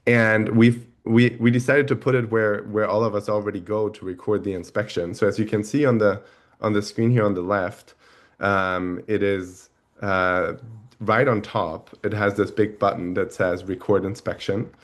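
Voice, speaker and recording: A man with a thick German accent walks viewers through a product on a video call. His voice sits a little low, and he often stops and restarts mid-sentence. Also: level tone. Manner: halting, many restarts and fillers. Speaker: webinar host. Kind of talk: product demo webinar talk.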